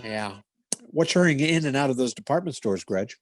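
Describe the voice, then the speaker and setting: A man playing a deadpan, clipped police detective narrating his case in a 1950s radio parody style. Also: mid-range voice, normal speed, loud music behind the voice, close to an everyday voice. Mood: terse, matter-of-fact.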